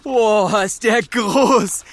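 An actor playing a cheeky, excitable little boy who loves wordy alliterations in a children's audio drama. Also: mid-range voice, extremely slow, a little hiss or hum. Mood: excited, coaxing.

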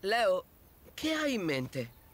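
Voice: medium pitch, very animated, drawn-out words, music in the background. A performer voicing a boastful, playful young male tiger cub in a children's cartoon, with a cocky manner. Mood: doubtful.

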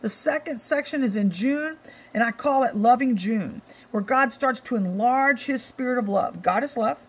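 Middle-aged woman, ministry host. Raspy, slightly high voice, emphatic and informal, hammering phrases by repetition. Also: normal speed. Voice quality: scratchy. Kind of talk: informal devotional exhortation.

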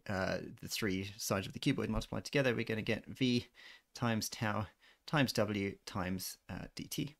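A man giving an informal physics lesson, working through a derivation in a measured, methodical way. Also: fairly low pitch, creaky. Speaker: physics tutor. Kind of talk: informal maths lecture, explaining a worked derivation. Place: voice-over on a handwritten screen recording.

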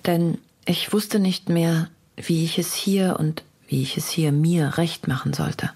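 A lovesick young woman poet in a radio feature. The voice is very low for a woman and husky with breath. She reads her letters slowly and intimately, torn and hushed. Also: heavily acted. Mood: weary, confessional. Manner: intimate, measured literary reading.

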